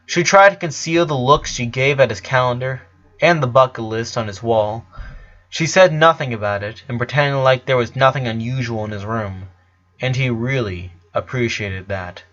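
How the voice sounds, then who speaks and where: A man narrates a piece of fiction from a script. His pitch sits in the middle range for a man. The recording is a little muffled and lo-fi. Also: moderate pace, a bit bright. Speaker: story narrator.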